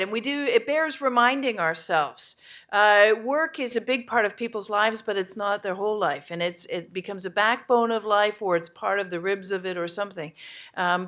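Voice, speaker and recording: A woman's voice: medium pitch, a bit bright. A woman moderating a live audience discussion at a conference, energetically prompting the room for takeaways. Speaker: summit facilitator.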